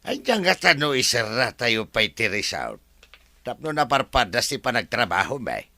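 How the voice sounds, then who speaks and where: An actor playing a deferential older male resort caretaker who pleads and bursts into shouts. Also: medium pitch, big pitch swings, normal speed, no echo, heavily acted. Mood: pleading.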